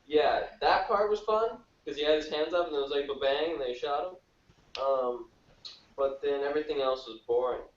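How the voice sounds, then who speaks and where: A man's voice: fairly high pitch, normal speed. A man chatting casually and bluntly as a panelist in a review discussion. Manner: blunt, colloquial. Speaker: podcast panelist. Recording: roomy, distant, dull call audio.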